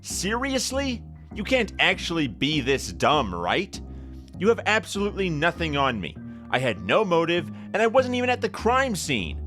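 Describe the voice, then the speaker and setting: Exasperated bright-voiced mascot played by a man. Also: on the high side, huge pitch swings, moderate pace, faint music behind. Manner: indignant, incredulous. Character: exasperated male costumed mascot, accused of murder.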